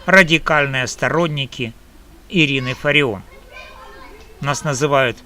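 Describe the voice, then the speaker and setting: A man from Ukraine delivering a personal opinion monologue to camera, slow and emphatic in measured phrases. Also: medium pitch, pushed and bright, a little hiss or hum. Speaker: video blogger.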